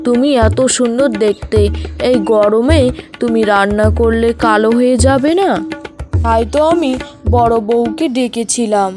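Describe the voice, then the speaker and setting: Cartoon folk tale role: doting, easily led farmer husband acted by a woman, somewhat high voice, music bed. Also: a little slow, lightly acted. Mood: doting, placating. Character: doting, gullible farmer husband, stern to first wife. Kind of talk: animated folk tale dialogue. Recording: voice over prominent background music.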